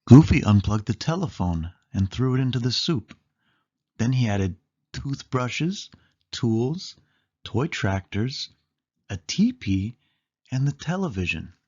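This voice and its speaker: A storyteller reading a children's book aloud in an animated way, lingering on listed words. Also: mid-range voice, takes it slowly, slightly rough, lo-fi sound.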